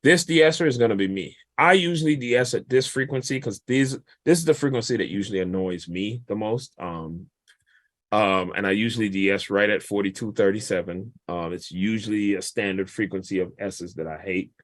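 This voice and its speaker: A man, a guest mix engineer, casually walking through a vocal mixing session in a relaxed, explanatory, colloquial way. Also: on the low side, moderate pace, dry recording.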